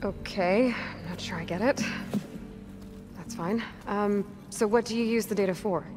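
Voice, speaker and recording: An actress plays a determined young woman adventurer in a video game. Her mid-pitched voice is direct and matter-of-fact, guarded but kind. Music is clearly audible underneath. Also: measured pace, close to an everyday voice. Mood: curious. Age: young adult. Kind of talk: video game dramatic acting.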